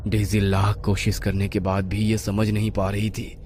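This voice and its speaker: A man's voice: lowish voice, monotone, moderate pace, darkish tone. A man reading a scripted horror story as a dramatic narrator. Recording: music behind the voice.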